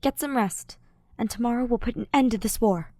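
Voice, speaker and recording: A woman voicing an earnest, tender young male knight in a fan fiction audiobook, speaking softly and hesitantly from the heart. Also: a little high, fairly quick, no echo, clean studio sound, pitched above the performer's own voice, close to an everyday voice. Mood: soft, hopeful.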